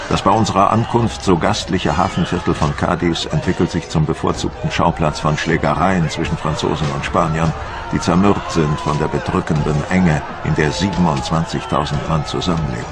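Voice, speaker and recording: Deep-voiced, brooding French admiral. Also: male voice, fairly even intonation, moderate pace. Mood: brooding, worried. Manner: grave, brooding. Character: troubled, despondent French admiral recounting his failing campaign. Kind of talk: first-person documentary drama narration. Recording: loud background music under the voice. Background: music.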